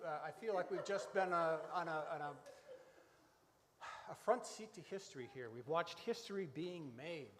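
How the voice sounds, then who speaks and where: A man playing an earnest, easily flustered festival announcer, giving halting, mock-solemn commentary in a live radio comedy. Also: a little high, lightly acted. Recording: echoey hall with long reverb, noisy.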